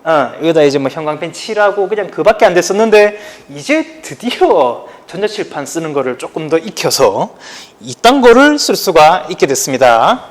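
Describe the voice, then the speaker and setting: A man with a Daegu accent, teaching a high school history class online in a relaxed, chatty way. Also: normal speed. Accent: Gyeongsang (Daegu) Korean. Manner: chatty, informal, talks to students directly. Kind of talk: casual online lecture.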